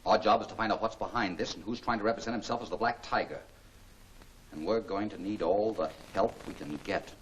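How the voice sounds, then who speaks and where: Male actor as genial, authoritative scientist-detective: lowish voice, vintage serial acting, lightly noisy soundtrack. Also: brisk, lightly acted. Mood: resolute. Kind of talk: 1940s film serial acting. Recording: faintly noisy 1940s film soundtrack.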